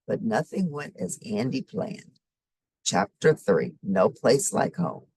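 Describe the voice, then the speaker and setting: Low-voiced, very animated woman storyteller. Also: takes it slowly, vocal fry, slightly rough, dry recording, rough recording. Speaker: storybook reader. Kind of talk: expressive children's story narration.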